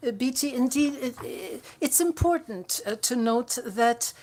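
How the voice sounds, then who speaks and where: A woman interpreting a conference speech live, halting often with fillers and restarts. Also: fairly high pitch, expressive, a little mellow. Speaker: simultaneous interpreter.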